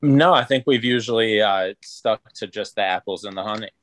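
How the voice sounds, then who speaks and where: A man from Israel with a fairly low voice answers casually as a student. His replies are short and agreeable, and the sound is very dry, with no room echo. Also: moderate pace, a bit bright. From born in Israel, moved to the US at nine. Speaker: student in an online class.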